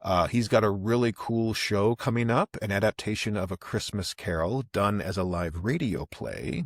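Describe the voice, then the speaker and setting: A man's voice: lowish voice, no room sound, studio quality. A man with an enthusiastic, emphatic manner, hosting a podcast in a conversational style. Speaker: podcast host and interviewer.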